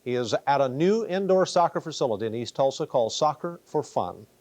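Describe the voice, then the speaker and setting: A man delivering the sports news with a brisk, energetic manner. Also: mid-range voice, dry recording. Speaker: sports anchor.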